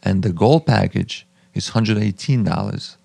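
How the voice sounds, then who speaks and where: Low, gravelly man from the former Soviet Union. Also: measured pace, warm tone, no echo. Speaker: guest, master barber and museum owner. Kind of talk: conversational interview talk. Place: small podcast studio with acoustic foam. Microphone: close studio mic on boom arm.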